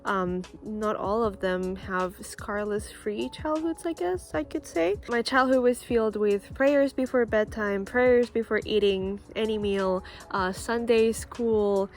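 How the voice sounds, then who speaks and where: A woman's voice: mid-range voice, measured pace, hardly any pauses. A woman in her early twenties from Costa Rica, a native Spanish speaker, talks casually and earnestly to camera as she shares a personal story outdoors. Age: early 20s. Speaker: vlogger. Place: outdoors, school playground near a road. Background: music, possible passing cars and birds.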